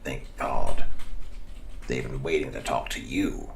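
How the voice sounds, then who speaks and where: A man voicing a weary, worried lawman in a motion comic, gruff, gravelly and blunt. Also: a bass voice, expressive, takes it slowly, background noise, heavily acted. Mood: urgent, grim.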